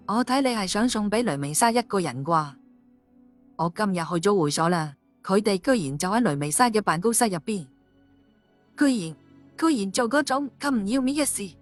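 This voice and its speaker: A woman's voice: medium pitch, lightly acted. A woman playing a fierce, domineering policewoman in an audio story, commanding and confrontational. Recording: music under the voice.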